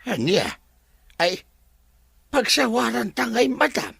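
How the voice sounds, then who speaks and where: A bumbling, apologetic old manservant in a radio drama. The voice is gravelly and sharp, swinging up and down as he halts and whines. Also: extremely high pitch, huge pitch swings, no echo, studio quality, exaggerated voice. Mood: confused. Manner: halting, whining, apologetic, full of ay and ah. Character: flustered, bumbling older male servant of a demanding madam. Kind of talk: Ilocano radio drama acting.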